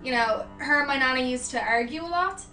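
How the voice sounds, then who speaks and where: A young woman from Dublin with an Irish accent, chatting casually and warmly as a guest. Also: sits high, brisk, far from the mic, soft background music. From Ireland. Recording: echoey room, voice away from mic.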